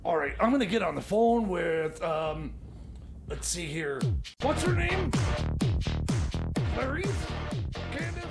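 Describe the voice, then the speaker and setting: A man's voice: sits high, very animated, slow pace, vocal fry, pauses often, over loud music, heavily acted. A man playing a flustered, scheming mechanic in improvised radio comedy, stammering, repeating himself and rambling hesitantly. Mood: muttering. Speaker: comic radio show sidekick.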